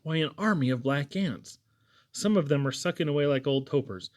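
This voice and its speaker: A man's voice: a little high, expressive, moderate pace, natural voice. A man plays an earnest young Irish lad from a storybook. He talks in a folksy, wordy way, full of simple wonder at what he has seen. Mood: amused wonder.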